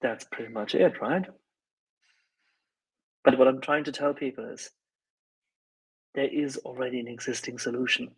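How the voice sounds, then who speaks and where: A man's voice: medium pitch, fairly even intonation, normal speed, pauses often, breathy edge, poor audio. A man on a live audio panel arguing politics emphatically, insistent and repeating words for emphasis.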